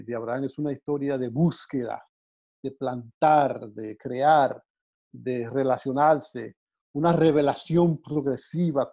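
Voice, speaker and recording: Halting man teaching a Bible lesson. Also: mid-range voice. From Dominican Republic. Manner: halting, many "eh" fillers and repeated words. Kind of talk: unscripted Bible class talk. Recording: dull lo-fi sound.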